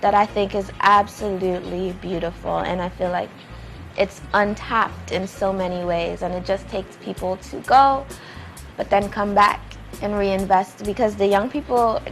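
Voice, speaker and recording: A woman's voice: medium pitch, fairly even intonation, moderate pace, faint music behind. A woman, a playwright and director, talking conversationally as an interview guest.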